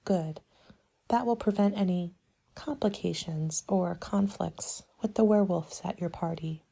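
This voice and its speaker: A woman speaking softly in an ASMR roleplay as a party planning agent, polite and measured, sometimes slipping toward a whisper. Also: on the low side, gravelly, breathy edge. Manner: polite, measured, businesslike consultant patter. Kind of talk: soft-spoken ASMR roleplay, partly whispered.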